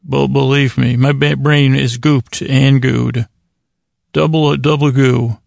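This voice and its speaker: A man hosting a bedtime podcast in a gravelly voice, rambling and halting as he improvises, drifting whimsically and trailing off mid-thought. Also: medium pitch, level tone, darkish tone, no room sound. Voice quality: gravelly, creaky voice. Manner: mildly whimsical, acts out imagined exchanges. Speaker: podcast host.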